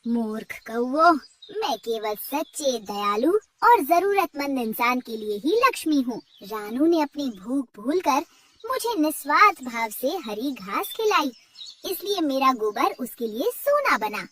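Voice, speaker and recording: A woman's voice: sits high, big pitch swings, unhurried, a bit bright, far from the mic, heavily acted. A woman voicing a righteous divine mother cow, solemn and moralizing, in a cartoon story. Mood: stern, scolding.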